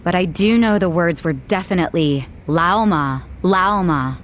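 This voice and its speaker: An actress playing a blunt, plain-spoken young bar hostess in an English video game dub. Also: female voice, fairly low pitch, a little slow, slight background noise, lightly acted. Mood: frank, uneasy.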